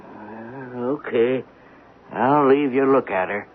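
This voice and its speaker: An actor playing a suspicious, miserly old male beggar in a radio drama, talking in halting, folksy, ungrammatical phrases. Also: medium pitch, close to an everyday voice. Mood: wary, defensive. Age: older. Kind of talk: 1970s radio drama acting. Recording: tinny, band-limited, noisy old radio broadcast.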